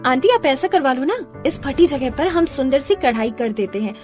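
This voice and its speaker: Woman doing a very high girlish voice, helpful confident daughter, lively, cartoon drama. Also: moderate pace, soft background music. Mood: reassuring. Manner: reassuring, eager. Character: helpful, confident young daughter, skilled seamstress.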